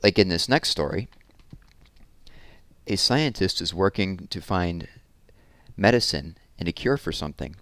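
A man's voice: low-pitched, expressive, unhurried, long pauses, fairly bright tone, no echo. A man in his thirties reading children's picture books aloud expressively, with casual asides, on a headset mic indoors. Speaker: read-aloud storyteller. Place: small room at home, indoors.

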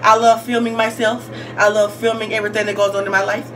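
A woman's voice: medium pitch, flat delivery, fast talker, fairly bright tone, faint music behind, low-quality recording. A woman in her twenties from Georgia with a Southern US accent, talking casually and repetitively in slang as she answers questions for a vlog. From Georgia, United States. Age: 20s. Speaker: YouTube vlogger.

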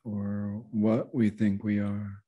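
A man leading a guided meditation as a teacher, speaking gently and unhurriedly with long pauses. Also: fairly low pitch, flat delivery, husky, lo-fi sound.

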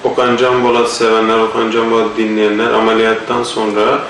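A man's voice: on the low side, completely flat delivery, a little fast, a bit bright, a little hiss or hum. A man answering interview questions hesitantly, with many filler sounds and a blocked nose. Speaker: singer and actor interviewed. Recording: echoey room, mic far off.

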